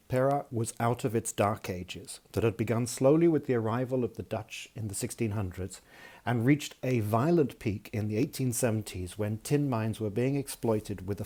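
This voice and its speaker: Malaysian guy reading his own writing, mellow low-key voice, lots of ums and restarts, video call. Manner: halting, self-deprecating, many fillers and restarts. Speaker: author reading his work. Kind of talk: casual intro then reading aloud.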